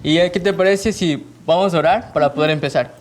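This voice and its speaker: A man's voice: a little high, fairly quick. A man hosting a faith-based podcast, welcoming guests, leading a prayer and asking questions in a friendly way. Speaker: podcast host.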